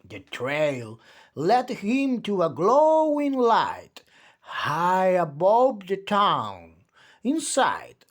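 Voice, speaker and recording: A male storyteller reads aloud for young children. His pace is very unhurried and his pitch swings widely, with theatrical stress that draws out important words. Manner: theatrical, slow, drawn-out emphasis. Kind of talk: expressive picture-book read-aloud for young children.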